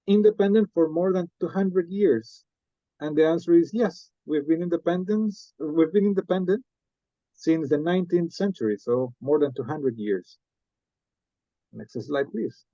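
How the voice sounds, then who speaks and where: A man's voice: on the high side, mellow, no room sound. A young man from Rica, giving a hesitant slide presentation to an audience with many fillers. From Costa Rica. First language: Spanish. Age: young adult. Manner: hesitant, frequent uh and um fillers, asks for next slide. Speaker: student presenter. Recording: slightly muffled, lo-fi.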